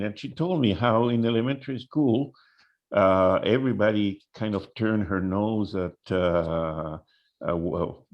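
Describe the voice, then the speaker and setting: Older man, low and unhurried, halting with fillers; muffled lo-fi sound. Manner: halting, many fillers and restarts. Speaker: workshop participant. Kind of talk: casual discussion.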